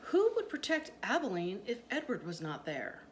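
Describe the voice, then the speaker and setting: A woman's voice: mid-range voice, wildly swooping pitch, normal speed. A woman voicing a confident, decisive father of a well-off family in a storybook. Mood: dismissive. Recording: noticeable background noise.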